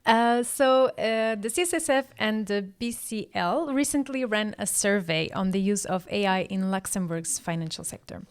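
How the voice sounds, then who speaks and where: A woman's voice: mid-range voice, moderate pace, hardly any pauses, clear, resonant tone. A woman with a Portuguese accent co-hosting a business podcast, speaking in a friendly way with some fillers. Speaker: podcast co-host. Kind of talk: casual hosting.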